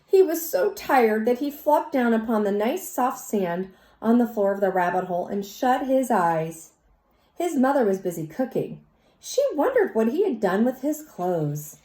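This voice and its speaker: A woman reads a children's story aloud in an expressive, teacherly way, speaking as if to young listeners. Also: on the high side. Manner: teacherly, pauses to question and comment. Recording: roomy, echoey sound.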